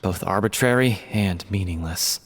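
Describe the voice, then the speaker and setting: A man voicing an earnest, grieving, lovelorn member of a theatre troupe in a dramatic, measured game performance. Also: low-pitched. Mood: somber.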